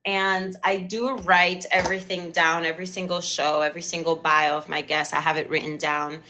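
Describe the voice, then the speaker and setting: A woman's voice: on the low side, flat delivery, moderate pace, pushed and bright, muffled recording, slight background noise. A woman in her thirties from Cuba with a Cuban accent, hosting a live stream talk show in an effusive, chatty way. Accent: Cuban Spanish. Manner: effusive and chatty, repeats thanks.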